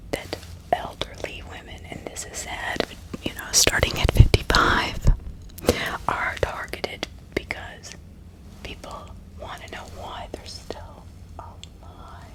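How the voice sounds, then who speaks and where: A woman narrating true crime in a breathy ASMR whisper, deliberate and often repeating words and phrases. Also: poor audio. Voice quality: breathy, mostly unvoiced whisper. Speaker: ASMR host.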